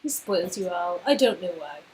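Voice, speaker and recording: A woman's voice: lowish voice, expressive, normal speed, a little mellow, slight background noise, voice pushed down, natural voice, low-quality recording. A woman voicing a cool, blunt, self-assured young woman in a game dialogue reading, with a dry delivery.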